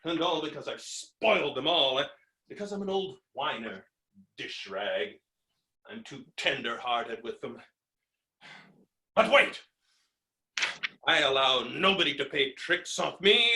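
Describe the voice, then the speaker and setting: A man's voice: on the high side, lively intonation, unhurried, pauses often, sharp tone, exaggerated voice. A man playing a blustering, hot-tempered creditor in a theatrical stage comedy reading, ranting with exasperated, melodramatic exclamations. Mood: exasperated griping. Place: indoor room, recorded remotely. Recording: roomy, echoing virtual staged-reading recording.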